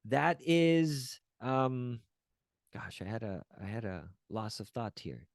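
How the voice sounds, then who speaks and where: A man's voice: mid-range voice, animated, takes it slowly, gravelly, dry recording. Earnest male coach in a dry room. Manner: earnest, coaching, checks in with "right?"; restarts and searches for words. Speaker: wellness coach. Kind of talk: teaching talk to camera.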